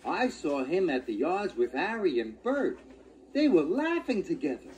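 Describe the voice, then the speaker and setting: A woman's voice: on the low side, sing-song, unhurried, a little mellow, a little hiss or hum, poor audio. A woman voicing an earnest, huffy male little steam engine in a children's storybook, speaking emphatically. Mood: wary.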